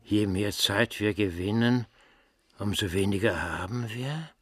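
A man plays a disillusioned, grumbling sceptic in a radio play. He speaks slowly in a lowish voice, with music clearly underneath. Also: natural voice. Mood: world-weary. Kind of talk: radio drama acting.

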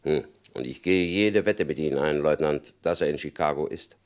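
An actor playing a stern, shrewd male FBI agent in a radio crime drama, speaking in a calm, probing, knowing way. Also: a bass voice, moderate pace. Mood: determined.

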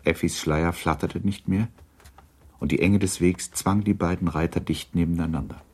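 A man narrating a literary radio drama in a measured storytelling manner. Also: low voice, fairly even intonation, breathy edge.

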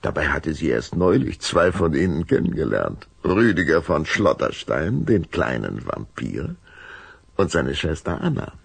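A male storyteller with an extremely deep, husky voice reads a children's story. He goes slowly with wide dramatic swings in pitch, building suspense, recorded close and dry. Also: very animated, no echo. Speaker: narrator. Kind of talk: scripted children's story narration.

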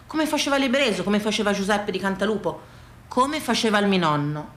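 A woman with a Tuscan accent performing a theatrical monologue, colloquial, with dramatic pauses and repetitions. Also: medium pitch, expressive. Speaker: stage performer, storyteller. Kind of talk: theatrical comic-poignant monologue. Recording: echoey room sound.

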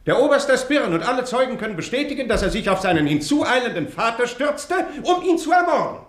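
A scheming advocate in a radio play. His delivery is pompous and lawyerly, with lively swings of pitch in an echoing room. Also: brisk. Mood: smoothly pleading. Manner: eloquent, pompous, legalistic. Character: smooth, scheming male notary and advocate. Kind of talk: German radio drama acting. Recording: roomy echo on radio drama.

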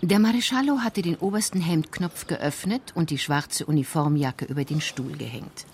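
A woman's voice: low-pitched, moderate pace, slight background noise. A woman narrator reading scripted crime-story narration for a radio drama.

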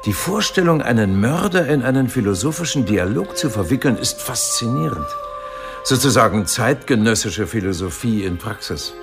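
An actor playing an erudite philosophy professor in a radio drama, donnish, deliberate and wryly amused. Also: male voice, mid-range voice, lively intonation, over music, lightly acted. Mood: fascinated. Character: erudite, fascinated male Cambridge philosophy professor.

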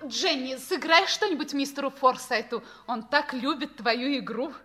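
A woman's voice: high-pitched, normal speed, pushed and bright. An actress playing a gracious middle-aged wife and mother, a sociable hostess, in a 1960s Soviet radio play. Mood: hospitable.

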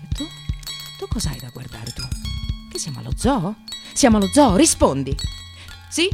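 An actress playing a resentful, frazzled receptionist in a theatrical radio monologue, volatile between clipped politeness and bitterness. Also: female voice, on the low side, wildly swooping pitch, moderate pace, creaky, a little mellow, soft background music, heavily acted. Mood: tense, sarcastic. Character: frazzled, resentful female receptionist in precarious work, near breakdown.

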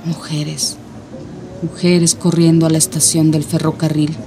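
A woman's voice: low-pitched, little pitch movement, measured pace. An actress playing a gossipy, watchful middle-aged Mexican village mother in a radio drama. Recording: music behind the voice.

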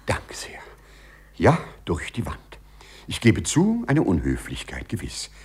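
A man acting in a 1950s German radio play as a courteous, lovestruck gentleman burglar with formal, flowery speech. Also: sits low, wildly swooping pitch, a little slow, slightly rough, whispered, heavily acted. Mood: flustered, apologetic. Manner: formal, flowery, over-polite. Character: courteous, lovestruck gentleman burglar who walks through walls.

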